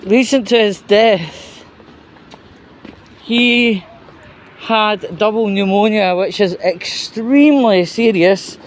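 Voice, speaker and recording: A man giving casual, opinionated news commentary in a rambling, halting, sweary way. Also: high voice, slight background noise. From United Kingdom. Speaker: host of his own commentary vlog.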